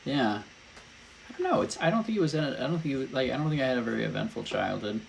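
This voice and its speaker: A young man from St. Louis, hosting a podcast and chatting casually in a relaxed, hesitant way with lots of ums. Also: mid-range voice, fast, creaky, slight background noise, rough recording. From St. Louis, Missouri. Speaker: podcast host. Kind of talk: casual conversation.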